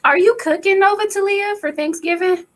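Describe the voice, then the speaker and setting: A woman with a raspy voice co-hosting a casual live spiritual chat, relaxed and chatty. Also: very high voice, brisk. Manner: relaxed, chatty, often laughing.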